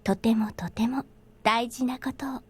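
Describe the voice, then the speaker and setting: An actress playing a gentle, solemn older sister speaking to a child in a Japanese visual novel game. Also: female voice, on the high side, fairly quick, natural voice.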